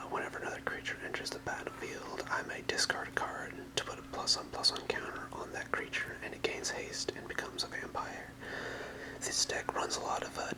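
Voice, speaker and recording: An ASMR creator whispering softly and casually, in a hesitant, apologetic way. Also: rough recording. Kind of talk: whispered ASMR, casual rambling card-deck showcase. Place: apartment room.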